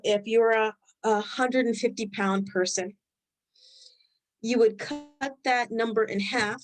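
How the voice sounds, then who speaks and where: A woman's voice: fairly high pitch, poor audio. Chatty woman with a Rhode Island accent. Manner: loose, conversational, thinks aloud while reading the chat. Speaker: webinar host. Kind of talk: live health webinar talk.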